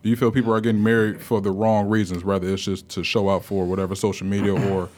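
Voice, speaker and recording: A man's voice: fairly low pitch, little pitch movement, quick pace, a little mellow. A man in his thirties hosting a casual podcast chat, asking probing questions. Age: 30s. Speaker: host.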